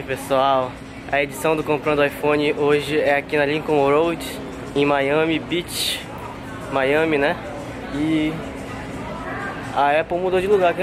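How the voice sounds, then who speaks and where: A man's voice: a little high, rough recording. A young man from Brazil, chatting upbeat to viewers while filming himself at arm's length in a busy store. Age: young adult. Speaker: travel vlogger. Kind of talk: casual vlog narration to camera. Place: busy electronics store and street outdoors. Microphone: handheld camera at arm's length. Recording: handheld camera in a crowded store. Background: loud crowd and music.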